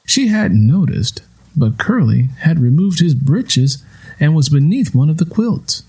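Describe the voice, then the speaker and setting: Mellow, slightly husky male audiobook narrator. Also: a little high, very animated, a little slow. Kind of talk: scripted literary narration.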